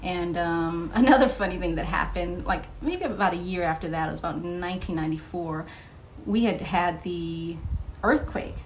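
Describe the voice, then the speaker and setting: A woman's voice: lowish voice, a little hiss or hum, low-quality recording. A woman telling personal anecdotes as an interview guest in a casual, conversational way.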